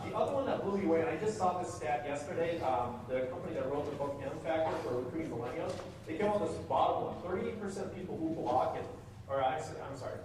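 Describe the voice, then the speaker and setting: A man giving a fast, energetic, interactive presentation to a room of people. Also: on the high side. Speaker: conference speaker. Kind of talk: live presentation talk. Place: meeting room with audience. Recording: echoey room from far off with noise.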